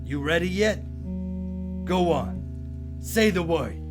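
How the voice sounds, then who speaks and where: A man acting as a sadistic gunman in a radio noir. His highish voice taunts and gloats unhurriedly, under loud guitar music. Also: animated. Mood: menacing taunts. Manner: taunting, drawn-out delivery. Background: loud plucked guitar music.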